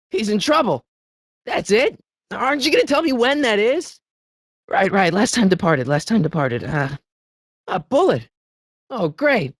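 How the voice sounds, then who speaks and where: Game role: earnest, excitable teen boy adventurer, very lively pitch movement, flustered stammer, dry studio sound. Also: no room sound, exaggerated voice. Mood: puzzled, worried. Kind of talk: video game voice acting.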